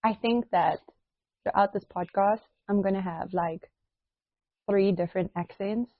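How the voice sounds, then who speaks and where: A woman's voice: mid-range voice, no room sound, narrow, tinny audio. Chatty South African woman in her thirties. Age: 30s. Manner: chatty, laughs often, quick asides. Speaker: podcast co-host. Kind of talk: casual chat.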